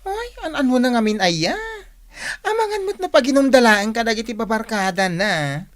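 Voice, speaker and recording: A woman's voice: on the high side, sing-song, normal speed, dry recording. A woman acting a complaining young student in a radio drama, with an Ilocano accent. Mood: worried, complaining.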